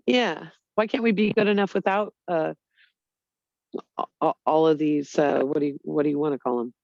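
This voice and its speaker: Earnest rambling woman, gravelly voice. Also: sits low, normal speed, a little mellow, no room sound, highs cut off. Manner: earnest, rambling, lots of "you know" and "like". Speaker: Bible study group member. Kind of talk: casual online group chat.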